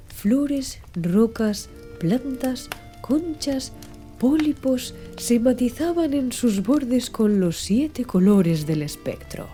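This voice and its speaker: Soft, dark-toned woman narrating an audiobook. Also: fairly high pitch. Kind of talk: scripted literary audiobook narration. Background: music under the voice.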